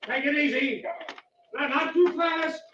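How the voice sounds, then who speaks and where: Old radio drama, forceful climber, clipped commands, very high tense voice, roomy lo-fi sound. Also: male voice, unhurried, heavily acted. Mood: urgent. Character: commanding, steady male mountaineer coaching climbers on the rope. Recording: echoey, distant, noisy old radio recording.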